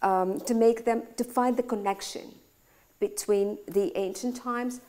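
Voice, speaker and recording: A woman's voice: a little high, steady tone, unhurried, mellow. A woman from Greece, speaking English with a Greek accent, who runs a school and answers interview questions in a searching way, restarting her sentences often. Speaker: school director. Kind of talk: conversational talk interview.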